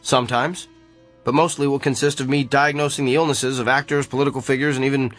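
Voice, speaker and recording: A man's voice: mid-range voice, little pitch movement, fast talker, a bit bright, soft background music, natural voice. An actor playing a pompous, defensive male doctor with an inflated view of his gift, in a radio drama. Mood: smug. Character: arrogant, self-important gifted male doctor.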